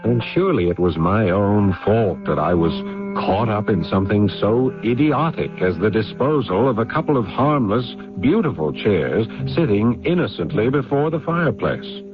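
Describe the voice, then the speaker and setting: An actor playing a weary, responsible brother who narrates and talks earnestly in a 1970s radio drama. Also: male voice, fairly low pitch, expressive, normal speed, over music, lightly acted. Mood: weary, reflective. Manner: earnest, hesitant when pressed, dramatic. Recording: muffled vintage radio recording.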